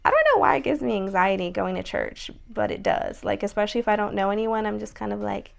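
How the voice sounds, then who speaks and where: Raspy young woman vlogging casually. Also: mid-range voice, expressive, quick pace. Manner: chatty, many likes and ums. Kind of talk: casual vlog talk to camera.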